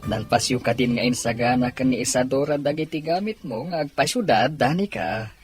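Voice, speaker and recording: A man playing a caring, dutiful father and elder brother in an audio drama, with a husky voice. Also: mid-range voice, brisk, soft background music, close to an everyday voice. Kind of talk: Ilocano audio drama acting.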